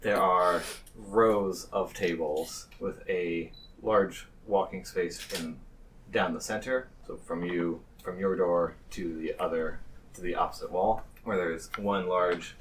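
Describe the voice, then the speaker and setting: A man's voice: deep voice, fairly even intonation, slow pace. A man improvising scene narration as the game master of a tabletop roleplaying game. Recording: distant mic, faint noise.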